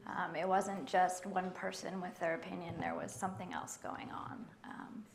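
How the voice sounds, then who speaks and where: A woman with a quiet voice, speaking on a panel at a library event in a measured way, with frequent ums. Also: fairly low pitch, flat delivery, background noise. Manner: soft-spoken and measured, with many ums. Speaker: library collection manager on a panel. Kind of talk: panel discussion answers.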